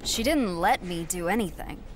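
A woman's voice: lowish voice, lively intonation, moderate pace, slight background noise, lightly acted, poor audio. A young woman voicing a confident, cocky wrestler in a video game cutscene. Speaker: player character wrestler.

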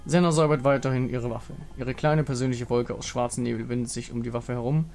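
A man's voice: mid-range voice, little pitch movement, fast, vocal fry, a little mellow. A man narrating a fantasy game story in a dramatic scripted style. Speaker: narrator reading visual novel dialogue. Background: music.

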